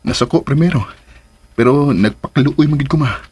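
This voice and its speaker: A man's voice: mid-range voice, brisk, darkish tone, slightly rough, close to an everyday voice. A man playing a good-natured son in a radio drama, affectionate and easygoing as he talks to his mother.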